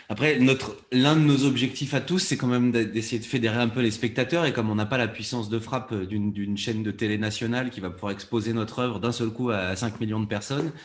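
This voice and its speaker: Male panel guest, rapid didactic delivery, lowish pitch. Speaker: audio fiction producer, panel guest. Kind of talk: panel discussion, explanatory.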